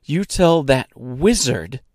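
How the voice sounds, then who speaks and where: A man voicing a fierce, defiant young woman in an audiobook, assertive and emphatic, with sharp diction and a foul mouth. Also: on the low side, lively intonation, measured pace, dry recording, studio quality. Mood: furious.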